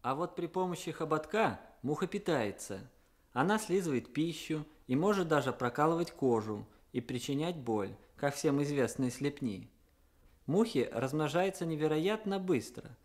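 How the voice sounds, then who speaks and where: A man giving a prepared educational talk for children as a guest expert, in a measured, explanatory manner. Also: on the high side, lively intonation.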